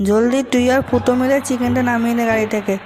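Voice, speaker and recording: A man voicing a bossy, exasperated ringleader of a rowdy gang in an amateur comedy cartoon, crude and grumbling, slightly pitch-raised. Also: steady tone, fast talker, a little mellow, soft background music.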